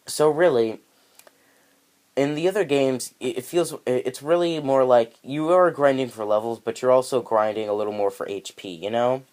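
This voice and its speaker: A man's voice: mid-range voice, normal speed, darkish tone. A man casually reviewing a video game, rambling and hesitant, often correcting himself, and sounding slightly nasal from allergies. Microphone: handheld camera mic.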